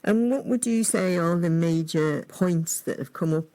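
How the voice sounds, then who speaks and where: A woman radio presenter asking brisk, prompting questions of a guest. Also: lowish voice, dark tone. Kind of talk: radio interview.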